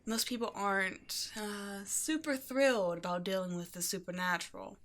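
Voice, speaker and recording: A woman's voice: medium pitch, steady tone, moderate pace, a bit bright, natural voice. Woman acting breezy, chatty occult shop owner. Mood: breezy, friendly. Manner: chatty, breezy, offhand about eerie things. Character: upbeat, quirky young woman running a mysterious occult shop. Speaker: shop owner character speaking to a new employee. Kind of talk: ASMR roleplay, casual one-sided conversation.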